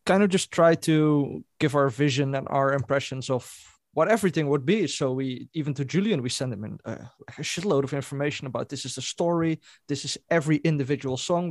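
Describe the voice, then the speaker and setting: A man's voice: medium pitch, a little mellow, no echo, studio quality. A Dutch-speaking man in a band, telling a story in a casual interview and laughing as he goes. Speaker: band member.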